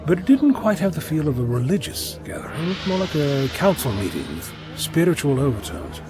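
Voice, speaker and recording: A man's voice: on the high side, very animated, fairly quick, music in the background. A man playing a curious, courteous, analytical traveller in an audio drama. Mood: intrigued. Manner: inquisitive, courteous.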